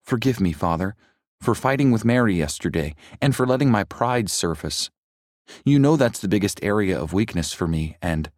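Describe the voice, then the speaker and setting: An earnest, devout nine-year-old boy from a storybook, as a man puts him on. He pleads and exclaims well above the teller's own low voice, with lively swings, in a dry close sound. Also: normal speed, dry recording, lightly acted. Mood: prayerful.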